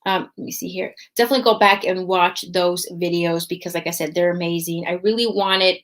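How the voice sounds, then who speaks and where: A woman's voice: on the low side, steady tone, a little fast, a bit bright. A woman hosting a faith podcast in a casual, talkative way, often tagging her sentences with "right?". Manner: chatty, often tags lines with "right?". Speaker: podcast host.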